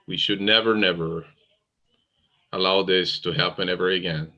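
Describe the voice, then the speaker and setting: A man from Venezuela, speaking English in a slow, deliberate, solemn way. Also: deep voice, pushed and bright. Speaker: participant. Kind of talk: earnest community statement.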